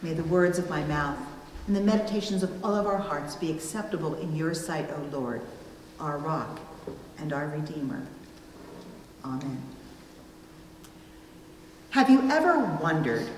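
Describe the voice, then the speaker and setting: A middle-aged woman delivering a scripted sermon in measured, careful phrases. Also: fairly low pitch. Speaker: preacher. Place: church sanctuary at a wooden pulpit, indoors. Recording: very echoey hall, distant mic, faint noise.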